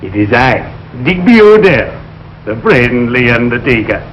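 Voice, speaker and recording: An actor playing a solemn, courteous, morbidly genteel undertaker in a 1940s radio sitcom, with formal, lugubrious diction. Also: male voice, mid-range voice, sing-song, unhurried. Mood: solemn, genial. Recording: dull, noisy old radio broadcast.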